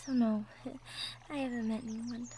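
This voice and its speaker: Woman acting a shy, high-voiced cottagecore sweetheart. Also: little pitch movement, a little slow, close to an everyday voice. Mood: shy, wistful. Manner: hesitant, bashful, soft-spoken, trailing off. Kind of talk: roleplay audio drama, intimate one-sided conversation. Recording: faint background noise, birdsong effects. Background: birdsong, outdoor nature sound effects.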